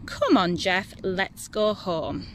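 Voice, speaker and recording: An excitable, stubborn little storybook girl, acted by a woman reader, full of doubt. Her pitch leaps about as she stretches and stresses words, with some steady noise behind her. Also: heavily acted. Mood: huffy, dismissive. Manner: emphatic, exclaiming, drawn-out words.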